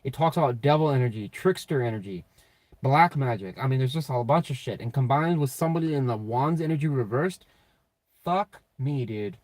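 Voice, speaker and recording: A man giving a casual, off-the-cuff tarot reading in a blunt, sweary, slangy way, with a slightly raspy voice. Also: mid-range voice, expressive, a little fast, darkish tone, no room sound, low-quality recording. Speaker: tarot reader and channel host.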